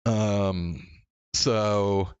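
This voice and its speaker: A man livestreaming in a casual, rambling chat, halting and stammering through restarts and swearing casually. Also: fairly low pitch, fairly even intonation, clean tone, dry recording, lo-fi sound. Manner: casually profane.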